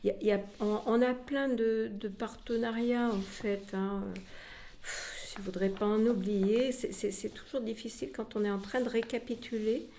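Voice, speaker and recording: A woman's voice: fairly high pitch, fairly even intonation, moderate pace, dark tone, slight background noise. A woman speaking spontaneously as an interviewed museum representative, often repeating words and trailing into asides.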